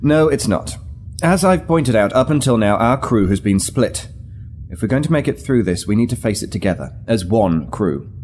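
An actor playing a weary but resolute military starship captain in an audio drama, measured, formal and authoritative. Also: male voice, lowish voice, sing-song, darkish tone, slight background noise. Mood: grave, persuasive.

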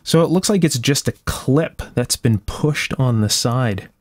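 Male repair-video host, mid-pitched, careful step-by-step explainer, casual delivery, very dry sound. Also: animated, unhurried, no room sound. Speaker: electronics restorer and video host. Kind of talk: casual hands-on repair narration. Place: indoor electronics workshop at a bench.

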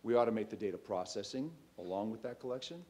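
A man in his thirties, a startup CEO, giving a loose, conversational pitch on a stage in a large auditorium, speaking into a stand mic with frequent ums and restarts. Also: fast, darkish tone, slightly rough. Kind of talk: conference pitch talk.

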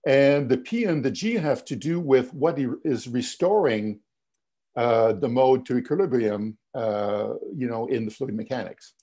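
Older male lecturer on a video call, warm and slightly raspy, patient explainer, voice fading. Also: mid-range voice, measured pace. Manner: explanatory, many "you know" fillers and restarts. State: losing his voice, coughing. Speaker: physics lecturer. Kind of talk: webinar lecture over slides. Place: home office, indoors.

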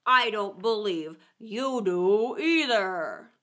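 A woman's voice: mid-range voice, expressive, very slow, fairly bright tone. A woman voicing a blunt, scornful young eaglet in a storybook, speaking in a challenging way.

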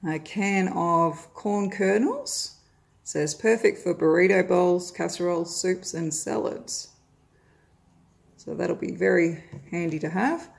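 Australian woman, middle-aged, low gravelly voice, casual haul vlogger at home on a phone. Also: takes it slowly. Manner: friendly, chatty, with frequent "uh" fillers. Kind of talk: casual grocery haul vlog. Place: home, indoors, at a table of groceries.

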